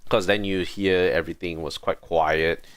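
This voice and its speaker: A man giving casual live gameplay commentary as a streamer, thinking aloud in halting short phrases. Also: sits low, fairly even intonation, dry recording. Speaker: game livestreamer.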